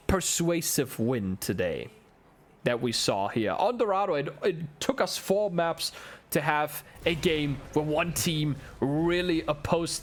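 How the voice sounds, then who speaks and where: A man's voice: on the high side, slight background noise. A young man with a German accent, whose first language is German, doing solo play-by-play commentary on an esports match, building up with the action. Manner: excitable, ramps up with the action, chats with viewers. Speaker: esports caster.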